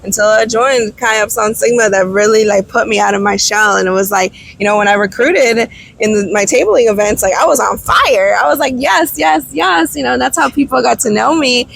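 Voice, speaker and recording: A woman's voice: a little high, a little fast, creaky, hardly any pauses, a little hiss or hum. A woman whose first language is Spanish, a guest telling enthusiastic stories in a casual interview chat. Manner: lively, enthusiastic storyteller. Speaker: guest, travel business founder. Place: outdoors on a beach.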